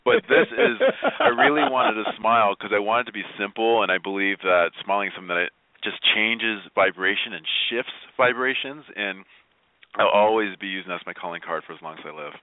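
A man speaking as an interview guest, telling personal stories in an expressive, emphatic and informal way. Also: lowish voice, fairly quick, gravelly, no room sound. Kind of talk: conversational personal storytelling. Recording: phone-like narrow-band online call.

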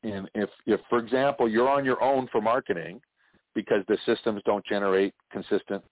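Low-voiced man on a tinny phone line. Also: fairly even intonation, a little fast, dry recording. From Canada. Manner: chatty storyteller, jokes and laughs often. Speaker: interview guest, franchise consultant. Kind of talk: casual interview chat.

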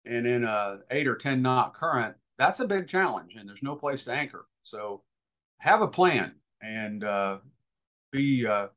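A man giving informal, practical, step-by-step explanations as a guest expert in an interview, pausing hesitantly as he goes. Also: medium pitch, a bit bright. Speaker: guest expert, boating safety educator.

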